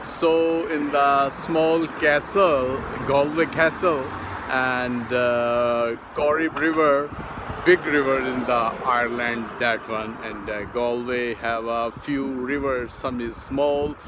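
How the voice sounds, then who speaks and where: A middle-aged man whose first language is Urdu, narrating a casual outdoor vlog from a city bridge in halting, broken English with many fillers. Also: medium pitch, steady tone. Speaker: vlogger. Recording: outdoor phone recording with street noise. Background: traffic and city street noise.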